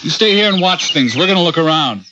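An actor playing a level-headed, good-humored spaceship captain with easy authority in a radio drama. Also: male voice, on the high side, animated, fast, close to an everyday voice. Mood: breezy. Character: easygoing, level-headed male rocket captain. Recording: dull radio drama recording, loud music.

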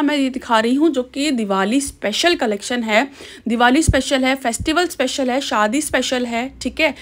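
A young woman filming a chatty shopping vlog as she walks through a busy outdoor market, talking to the viewer through a handheld camera or phone. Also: high-pitched. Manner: chatty, keeps asking "ठीक है". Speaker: shopping vlogger.

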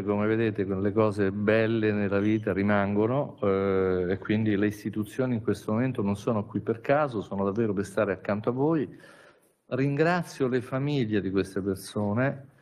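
A man's voice: on the low side, steady tone, moderate pace, a little mellow. A man, a regional official, speaking off the cuff at a press conference, rambling with many restarts and asides. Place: large conference hall with an audience.

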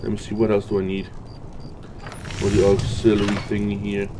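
A man's voice: lowish voice, completely flat delivery, brisk, noisy background. A man giving unscripted game commentary, muttering to himself and reading item names aloud. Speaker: gamer recording a let's play.

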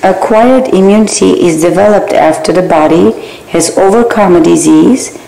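Gravelly low-voiced woman reading slides. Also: reverberant, poor audio. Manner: steady, measured slide reading with small grammar slips. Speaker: barbering theory instructor. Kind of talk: reading exam slides aloud.

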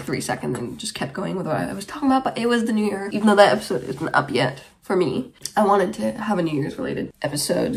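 A woman's voice: mid-range voice, a little hiss or hum. A woman around twenty from Germany, hosting her podcast in casual, rambling chat to camera in a small room. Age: about 20. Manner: rambling, chatty, tangent-prone. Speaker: podcast host. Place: small bedroom, indoors.

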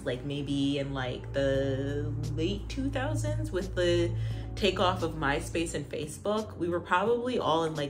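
A woman of about twenty-nine with a notably low-pitched voice talks to camera in a casual vlog style. She is candid and colloquial, sharing opinions with slangy asides, recorded indoors at home. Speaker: host of a personal vlog channel. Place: room at home, indoors by a door.

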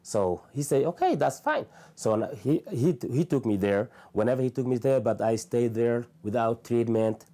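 A man in his thirties with a Somali accent, telling his own story haltingly and earnestly in an interview indoors on a lapel mic. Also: mid-range voice, animated, dark tone. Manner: halting, plain and earnest, with many restarts. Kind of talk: personal testimony. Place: small living room, indoors.

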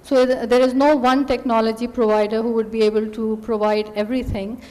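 A middle-aged woman speaking as a panelist at a conference, measured and full of filler pauses, into a stand microphone. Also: fairly high pitch, flat delivery, warm tone. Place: large hotel conference hall. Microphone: table stand mic. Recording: long hall reverb.

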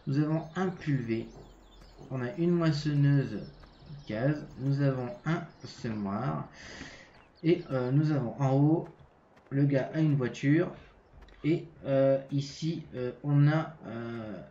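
A man's voice: medium pitch, slow, warm tone, reverberant, muffled recording, slight background noise. A French man with a cold giving a casual, hesitant game walkthrough, full of fillers and restarts. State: has a cold. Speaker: gaming channel host.

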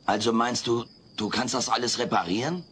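A man's voice: medium pitch, fairly even intonation, fairly quick, a bit bright, slightly rough. A man playing a brash, mischievous, wisecracking alien creature in a sitcom audio play, cheeky and theatrical. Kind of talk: German-dubbed sitcom audio play acting. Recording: noisy old cassette audio play.

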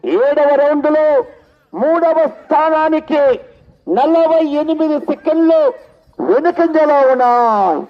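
An extremely high-pitched man with an Andhra Telugu accent calls a live rural contest. He repeats his announcements and punctuates them with exclamatory cries. The sound is dull and lo-fi. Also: takes it slowly. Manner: repetitive announcing broken by loud exclamatory cries. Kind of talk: live contest commentary over a public address.

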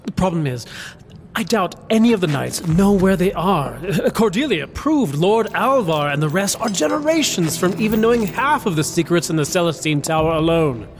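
A man's voice: high-pitched, animated, moderate pace. A man playing a thoughtful, musing strategist at a fantasy council in an audio drama.

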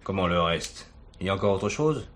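An actor playing a serious, probing police detective with a troubled conscience in a French radio play. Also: male voice, sits low, expressive, brisk, a bit bright.

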